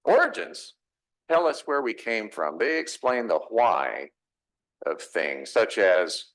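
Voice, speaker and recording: Middle-aged man, Texas accent, low voice with wide swings, reflective pastor. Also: sing-song, slow, fairly bright tone. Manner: reflective, conversational preaching with rhetorical questions. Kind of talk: sermon. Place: church sanctuary.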